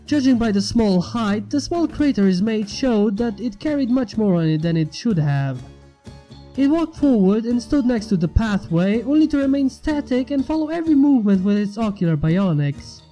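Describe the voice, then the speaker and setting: A man reading a story aloud as a narrator, with expressive delivery. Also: high-pitched, normal speed. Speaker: fan fiction narrator and channel host. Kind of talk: scripted story narration over music. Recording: music under the voice. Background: background music.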